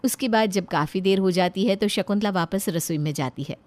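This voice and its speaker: A woman's voice: mid-range voice, brisk. A woman narrating a scripted family story.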